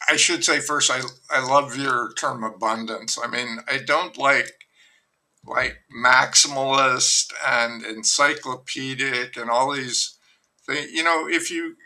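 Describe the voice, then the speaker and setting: Male author guest, gravelly and very bright tone, candid and self-deprecating, loose rambling delivery. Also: mid-range voice, poor audio. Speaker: novelist guest. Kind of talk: conversational interview.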